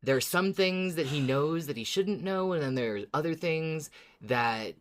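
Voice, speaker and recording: A woman's voice: sits low, animated, measured pace. A woman co-hosting a podcast, talking casually as a fan about a story. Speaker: podcast co-host. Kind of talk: casual fan discussion of an audio drama.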